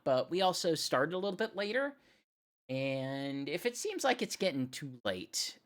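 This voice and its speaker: A woman hosting a music livestream, chatting casually as she introduces songs like a radio DJ. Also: low voice. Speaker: radio show host.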